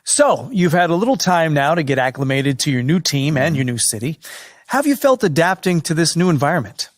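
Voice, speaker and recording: A man's voice: a little high, very animated, brisk, dry recording, clean studio sound. An actor playing a polite, put-upon sports journalist in a video game, hesitant and accommodating as he interviews. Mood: politely professional. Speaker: interviewer, reporter.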